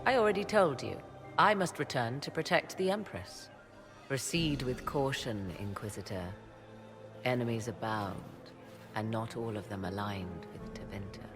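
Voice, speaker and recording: A woman playing a sly, haughty occult advisor in a fantasy video game, with archaic, theatrical, deliberate phrasing. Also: very deep voice. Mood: grave warning. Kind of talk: dramatic video game dialogue. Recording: long hall reverb under clear music.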